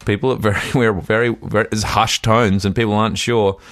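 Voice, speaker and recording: Male podcast co-host, deep voice, fast and rambling, self-deprecating storyteller. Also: fairly even intonation, a bit bright, no echo. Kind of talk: casual comedic podcast chat.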